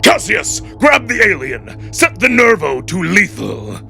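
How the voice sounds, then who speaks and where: A cold, ruthless wolf ruler, acted out by a man in a dramatic story, speaks in a creaky, forceful growl. He gives imperious orders as an older official used to obedience, swinging widely in pitch over background music. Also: huge pitch swings, a little slow, full character voice. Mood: furious. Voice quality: gravelly, growling. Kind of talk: dramatic audiobook-style character acting.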